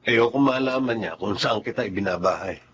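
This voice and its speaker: An actor playing a smooth-talking cheating husband, coaxing and reassuring, in radio drama style. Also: male voice, lowish voice, brisk, a bit bright, close to an everyday voice. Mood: uneasy, coaxing. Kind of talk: Filipino radio drama acting. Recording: slightly dull audio, faint background noise.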